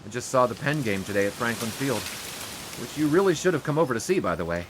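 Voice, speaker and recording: An actor playing an earnest young sportswriter in an audio drama, speaking in a halting, hushed, apologetic way. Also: male voice, mid-range voice, animated, noisy background, close to an everyday voice. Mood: regretful.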